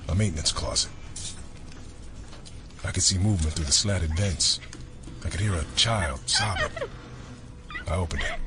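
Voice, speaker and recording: A man's voice: deep voice, natural voice. A man playing a grim, weary government fixer who tells his story in terse, hard-boiled narration for an audio drama.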